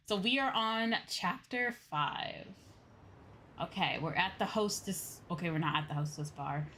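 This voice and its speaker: Woman, casual gaming livestream chat, self-deprecating, slightly low pitch, prominent game music behind. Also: fairly bright tone. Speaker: streamer, virtual YouTuber.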